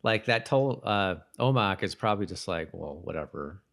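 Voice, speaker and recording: A man's voice: low voice, expressive, normal speed, gravelly, a bit bright. A man co-hosting a podcast, talking casually about a TV show. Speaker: podcast co-host. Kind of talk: casual chat about a TV episode.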